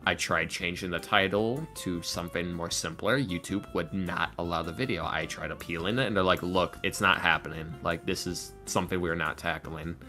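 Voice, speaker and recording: A man who makes roleplay videos, talking casually as a podcast guest and acting out quotes as he tells stories in an animated way. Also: sits low, moderate pace, hardly any pauses, soft background music. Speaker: interview guest, ASMR roleplay video creator.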